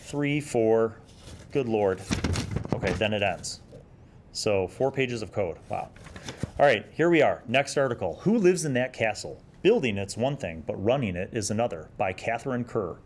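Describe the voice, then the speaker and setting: A man's voice: fairly low pitch, expressive, normal speed, darkish tone, a little hiss or hum. A man hosting a retro gaming review, talking casually and thinking aloud as he goes. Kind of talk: casual rambling review commentary.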